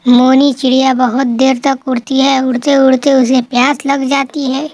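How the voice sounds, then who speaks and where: A woman's voice: high voice, flat delivery, moderate pace, darkish tone, dry recording. A woman narrating a scripted children's story.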